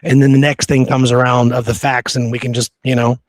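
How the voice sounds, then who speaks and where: Male history podcast host, mid-pitched, informal and emphatic, conversational delivery, close dry sound. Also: little pitch movement, brisk, no room sound. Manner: informal, emphatic, seeks agreement with "right?". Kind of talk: casual history discussion.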